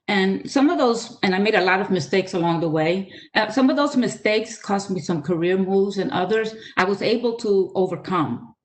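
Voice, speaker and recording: A woman in her sixties, from the Dominican Republic and raised in New York City, giving a measured, earnest webinar presentation over a video call with frequent fillers. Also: lowish voice. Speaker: guest speaker, author.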